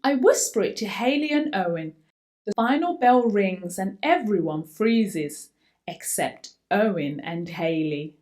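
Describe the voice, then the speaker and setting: A woman reading a children's picture book aloud with theatrical emphasis and drawn-out words. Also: mid-range voice, lively intonation, darkish tone. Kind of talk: expressive picture-book read-aloud, voicing a young boy's first-person story.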